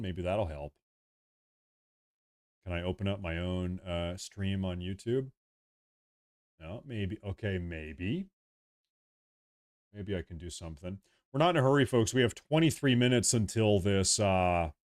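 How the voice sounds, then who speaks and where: Low-voiced man chatting on a livestream. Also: measured pace, pauses often, darkish tone. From United States. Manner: rambling, repeats himself, reads chat aloud. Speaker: livestream host. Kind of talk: casual livestream chat.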